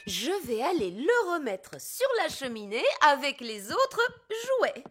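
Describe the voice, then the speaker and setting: A woman's voice: high-pitched, wildly swooping pitch, slow, a bit bright, full character voice. An actress voicing a cheerful party-hosting mother in a French cartoon dub.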